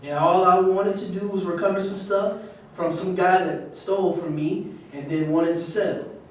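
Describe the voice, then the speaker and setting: Casting: male actor as aggrieved former sports star, high voice, curt and defensive, stage monologue in a very echoey room. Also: fairly even intonation, normal speed, lightly acted. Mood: bitter, defensive. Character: aggrieved, bitter ex-athlete out of jail. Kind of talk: theatrical monologue, staged reading. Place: live staged reading in a roomy space. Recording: very echoey room, distant mic, faint noise.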